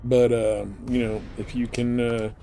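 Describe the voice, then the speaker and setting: A man's voice: mid-range voice, level tone, a little slow, warm tone, slight background noise. A man giving casual, rambling gameplay commentary as a live streamer, explaining things as he goes.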